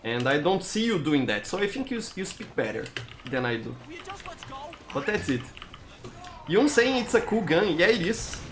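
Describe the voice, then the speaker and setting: Brazilian-accented man, lively pitch, halting speech. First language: Brazilian Portuguese. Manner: halting, stammers and repeats words. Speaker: live-streamer hosting gameplay. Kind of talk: casual live-stream chat while gaming.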